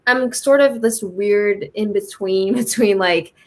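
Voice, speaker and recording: American woman, mid pitch, chatting casually in an interview, explains stuff in a reflective way. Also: slow pace, a little mellow. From United States. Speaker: interview guest.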